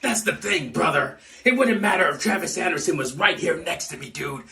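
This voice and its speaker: A man's voice: a little high, expressive, a little fast, gravelly, pushed and bright, distant mic. A man cutting a parody wrestling promo, all bluster and bravado, tacking "brother" and "dude" onto his lines. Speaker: fan wrestling promo performer. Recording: roomy echo, off-mic.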